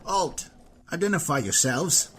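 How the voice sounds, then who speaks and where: A man's voice: animated, unhurried, a bit bright, a little hiss or hum. A man voicing an edgy, weary male city gate guard who talks in a nervous, strained way. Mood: commanding. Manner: nervous, strained, rising pitch. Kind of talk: audio drama reading.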